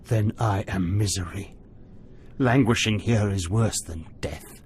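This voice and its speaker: Low-voiced, pleading disgraced warrior. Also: male voice, slow pace, darkish tone, a little hiss or hum. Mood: bitter despair. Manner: pleading, solemn. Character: middle-aged disgraced Sith warrior prisoner, desperate, proud, pleading. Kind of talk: video game cutscene acting.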